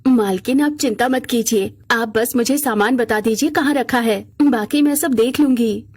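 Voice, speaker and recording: Woman acting poor young mother and maid, high voice, humble, earnest, deferential, cartoon story. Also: moderate pace, a little mellow, slight background noise, lightly acted. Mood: deferential, reassuring.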